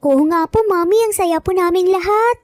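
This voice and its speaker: A woman's voice: very high voice, moderate pace, no echo, studio quality. A woman voicing an eager, earnest young boy in a dramatized story. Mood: cheerful, polite. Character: young triplet boys, eager and earnest little brothers.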